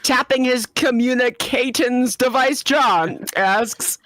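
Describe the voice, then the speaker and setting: A woman hosting and narrating a comedic amateur fan fiction reading in a giggly, halting way. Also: a little high, fairly bright tone. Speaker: narrator and host.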